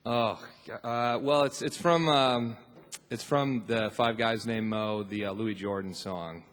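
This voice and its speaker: A man, a musician being interviewed on stage, answering casually and a bit haltingly. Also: fairly low pitch, steady tone, a bit bright. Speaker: band bassist being interviewed. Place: on stage in a theater. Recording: reverberant theater hall.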